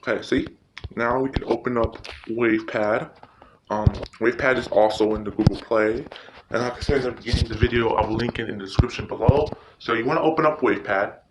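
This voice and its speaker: A man hosting a casual tutorial, speaking informally and haltingly, often repeating himself. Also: mid-range voice, steady tone. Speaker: tutorial host. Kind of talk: casual screen-recording tutorial. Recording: noisy recording with clicks.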